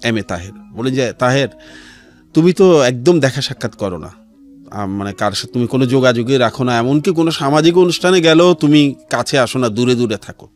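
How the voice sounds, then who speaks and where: A middle-aged man with a Bangladeshi accent telling his life story as an interview guest, in a measured way with pauses and some English words mixed in. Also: medium pitch, animated. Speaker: interview guest, civil servant. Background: background music.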